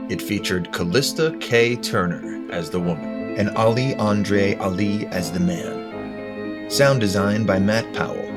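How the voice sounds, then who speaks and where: A man's voice: on the low side, measured pace. A man reading scripted end credits for an audio drama as an announcer. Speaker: credits reader. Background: loud guitar music.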